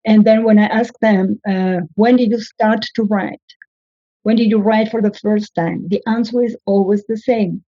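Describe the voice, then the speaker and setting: A woman's voice: mid-range voice, steady tone, normal speed, mellow, no echo. Woman thesis coach, methodical and earnest, dry close sound, a bit dull. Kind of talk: interview conversation.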